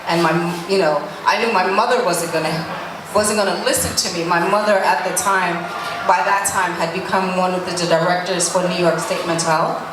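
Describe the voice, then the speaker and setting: A middle-aged woman from Jamaica, a guest speaking earnestly about her life, with many restarts. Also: on the low side. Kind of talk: candid conversational interview. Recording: echoey room from a distance, noisy.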